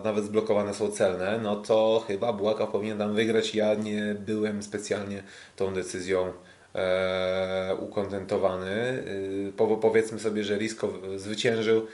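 A Polish man co-hosting a sports podcast, recapping fights casually in a hesitant way with drawn-out fillers and restarts. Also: on the low side, little pitch movement, darkish tone. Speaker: podcast co-host, kickboxing commentator.

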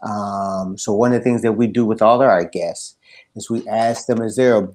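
A man's voice: on the low side, steady tone, normal speed, darkish tone. A middle-aged man hosting a podcast in a casual, conversational style. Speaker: podcast host. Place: indoor room, video call. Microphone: headphones and desk mic.

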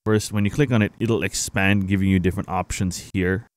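A young man hosting a casual software tutorial, explaining things informally to viewers on a close microphone. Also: low-pitched, fairly even intonation, fairly quick, dry recording. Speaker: tutorial host, gaming streamer. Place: indoor home streaming setup. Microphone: close boom-arm microphone.

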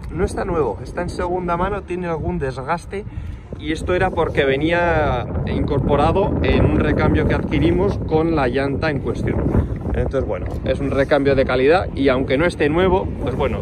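A man from Spain with a Spanish accent, giving an informal, explanatory walkthrough as a workshop host, with chatty asides. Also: mid-range voice. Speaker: motorcycle workshop builder and channel host. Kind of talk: casual hands-on vlog walkthrough. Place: outdoors in a paved car park. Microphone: handheld phone held by the speaker. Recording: clear outdoor street and vehicle noise.

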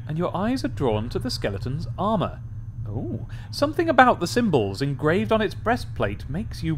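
A man's voice: fairly high pitch, a little hiss or hum, low-quality recording. A young British man giving casual game commentary and reading aloud, chatty, rambling and wry, close on a desk mic. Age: young adult. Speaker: let's play host. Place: small room at home or office, indoors. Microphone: desk microphone with pop filter, close.

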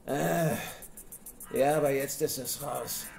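A man's voice: medium pitch, steady tone, slow pace, gravelly, darkish tone, soft background music. An actor playing a dim, whiny kidnapper's henchman in an audio drama, talking in blunt slang. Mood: blurting, rattled. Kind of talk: amateur German radio play acting.

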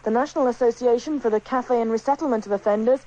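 A woman's voice: on the high side, fast, mellow, lo-fi sound. A woman reading the news as a radio newsreader.

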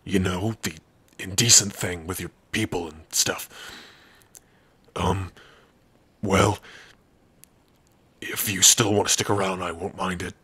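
A man playing a big, gruff orc with a rough, husky voice, teasing yet secretly soft-hearted, in an ASMR roleplay. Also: sits low, steady tone, slow, pauses often, dry recording, exaggerated voice. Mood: flustered. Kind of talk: ASMR roleplay addressed to the listener.

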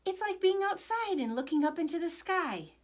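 A woman's voice: extremely high pitch, very animated, normal speed, pitched above the performer's own voice. A woman voicing an earnest, curious little girl in a read-aloud storybook. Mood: delighted. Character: earnest, curious little girl missing her soldier father.

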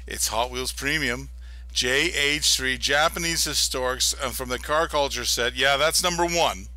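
A man hosting a live diecast car auction, giving a hyped, pushy sales pitch. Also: bright, forceful tone. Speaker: auction host.

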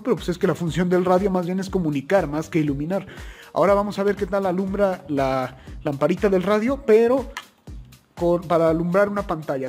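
A man's voice: sits high, a little mellow. A young man speaking in a casual, chatty, folksy way as he hosts a product-test vlog. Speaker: video host. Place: plain indoor room, also outdoors at night.

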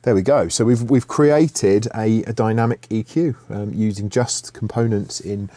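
A middle-aged man teaching music production software in a screen-share tutorial, relaxed and thinking aloud with fillers and restarts, recorded in a home studio. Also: on the low side, moderate pace, dry recording.

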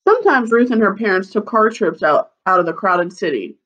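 A woman's voice: medium pitch, expressive, fairly quick, a bit bright, dull audio. Woman reading a picture book aloud. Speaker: elementary school teacher reading aloud. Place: living room at home, seated on a couch. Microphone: webcam or laptop mic at about arm's length.

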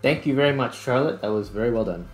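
A man's voice: medium pitch, animated, fast, rough recording. A man giving casual, informal let's-play commentary, thinking aloud as he hosts a gaming video. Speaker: host of a gaming series. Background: background music.

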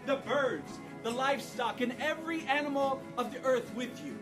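A woman's voice: high voice, moderate pace. Woman, parent leading home scripture play. Instructive, repetitive prompts, distant microphone, music behind. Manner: coaching, instructive, repeats short directions. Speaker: parent leading a family Bible reenactment. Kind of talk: reading scripture aloud and directing children. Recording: distant mic with music underneath.

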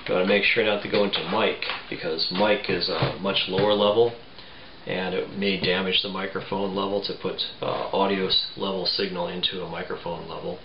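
Roomy home recording, man, low voice, halting casual tutorial delivery. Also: little pitch movement, creaky. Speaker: tutorial presenter. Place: small home room, indoors. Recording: roomy, muffled, faint background noise.

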